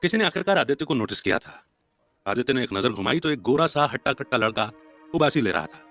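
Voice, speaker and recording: A male storyteller reads a scripted drama in a medium-pitched voice. He tells it as an audio story, with background music under his narration. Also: big pitch swings, fast, a bit bright, dry recording. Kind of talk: scripted dramatic story narration in Hindi mixed with English words.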